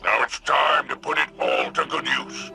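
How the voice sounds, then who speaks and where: A man's voice: a little high, normal speed, creaky, bright, forceful tone, faint music behind, exaggerated voice. A man voicing a greedy, wheedling alien crime boss in a video game, talking like a sly salesman. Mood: sly, coaxing. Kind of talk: video game cutscene acting.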